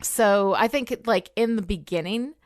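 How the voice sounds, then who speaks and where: Female podcast co-host, clear smooth voice, conversational and analytical, tag questions, recorded dry and close. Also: medium pitch, measured pace, no room sound. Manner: conversational, frequent "you know" and "right?" tags. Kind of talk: casual analytical TV discussion.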